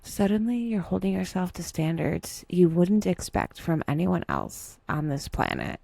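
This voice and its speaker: A woman narrating a scripted guided meditation with wry, dry humor and a soft, breathy delivery, leaving unhurried pauses. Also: on the low side, big pitch swings, no room sound.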